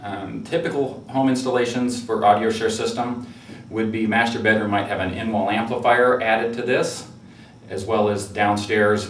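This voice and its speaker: A man giving an informal product demonstration, explaining conversationally and a little hesitantly as a presenter. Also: fairly low pitch. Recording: roomy, distant mic with faint noise.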